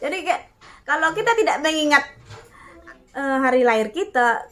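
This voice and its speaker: A woman in her thirties giving an animated, casual sermon-style talk, often addressing her listeners as "Bapak Ibu" and reading verses aloud. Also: high-pitched, normal speed. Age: 30s. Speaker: online preacher.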